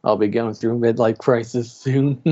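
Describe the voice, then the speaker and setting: A man's voice: mid-range voice, fairly even intonation, unhurried, mellow, husky. A man in his late thirties co-hosting a podcast, telling stories in casual group chat and laughing as he goes. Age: 30s. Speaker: podcast co-host. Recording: dull lo-fi sound.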